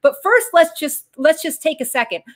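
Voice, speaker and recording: A middle-aged woman hosting a political discussion show over a video call, who gets excited and jumps up into a higher voice. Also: moderate pace. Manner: excitable, pitch jumps high when excited. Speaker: show host. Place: home room with bookshelves.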